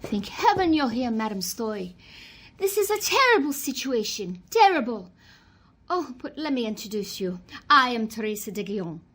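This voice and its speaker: A woman's voice: on the high side, sing-song, normal speed, a bit bright. A woman acting a haughty, anxious society lady in a theatrical radio play, dramatic and imperious. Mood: relieved, formal.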